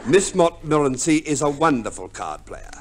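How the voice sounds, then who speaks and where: An actor playing a fussy, pompous divorce lawyer, formal and precise, in 1950s radio drama style. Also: male voice, a little high, big pitch swings, moderate pace, a faint crowd, a little hiss or hum. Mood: smoothly instructive. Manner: formal, precise, unctuous, hesitant hums. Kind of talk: 1950s radio play acting.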